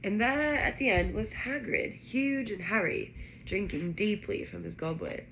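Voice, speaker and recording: A woman narrating a children's fantasy story aloud in an expressive reading style. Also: medium pitch, a little slow, vocal fry, slight background noise, low-quality recording. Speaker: audiobook-style reader.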